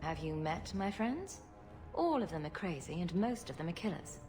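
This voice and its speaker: A young female rogue from a fantasy video game. Her delivery is wry and teasing, with animated, swooping intonation and music playing underneath. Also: on the low side, moderate pace. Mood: sardonic. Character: sarcastic, playful young female rogue adventurer.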